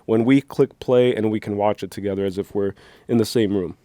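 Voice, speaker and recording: A man's voice: lowish voice, steady tone, a little fast, dark tone, dry recording. Playful rambling young man, dry sound. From New Jersey. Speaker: co-host. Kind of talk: casual chat.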